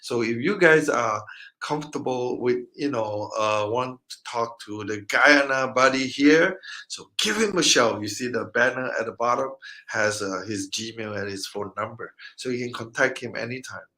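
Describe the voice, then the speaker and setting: A man hosting a casual interview, upbeat and halting, with frequent fillers. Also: mid-range voice, pushed and bright. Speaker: interview host.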